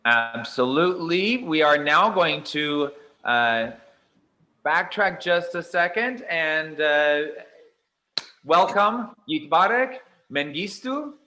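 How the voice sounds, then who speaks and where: A man hosting an online spirituality conference, effusive and halting with many fillers. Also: a little high, expressive, pushed and bright. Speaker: event host.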